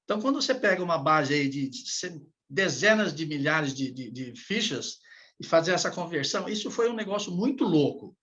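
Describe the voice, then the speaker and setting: Man in a podcast chat, halting and repetitive delivery, slightly high pitch, dull recording. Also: lively intonation, a bit bright. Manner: halting, repeats words while thinking. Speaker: general manager of the association. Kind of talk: recalling past challenges in a podcast chat.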